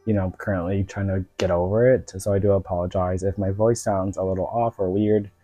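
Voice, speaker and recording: Man with a cold, low and dark tone, rambling doll-review vlog chat, dry close sound. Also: fairly even intonation, a little fast, dry recording, highs cut off, soft background music. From United States. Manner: rambling, many filler words. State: sick with a cold.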